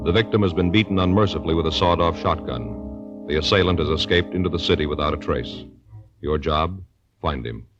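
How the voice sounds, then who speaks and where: A man's voice: deep voice, fairly quick, over loud music. A man announcing in a scripted 1950s radio style, dramatic and emphatic. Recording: dull vintage radio recording.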